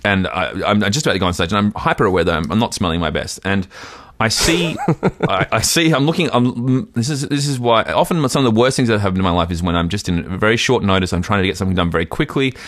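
A man's voice: on the low side, lively intonation, fast talker, few pauses, fairly bright tone, no room sound. A man co-hosting a casual comedy podcast, telling a rambling, self-deprecating story with lots of restarts. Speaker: podcast co-host.

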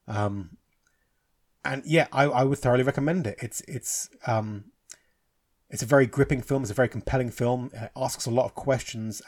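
Husky English man reviewing films. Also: medium pitch. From England. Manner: rambling, many fillers and restarts. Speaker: film podcast host. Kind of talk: casual film review.

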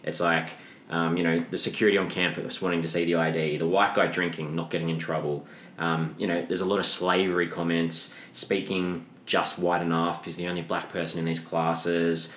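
A man's voice: flat delivery, normal speed, fairly bright tone. A man hosting a casual film discussion, griping informally and giving his opinions in a conversational way. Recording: echoey room, noticeable hiss and rumble.

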